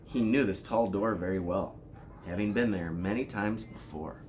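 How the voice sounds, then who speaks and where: A man with a fairly low voice reads a children's story aloud. His delivery is deliberate and expressive, and there is noticeable noise behind him. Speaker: elementary school principal reading aloud.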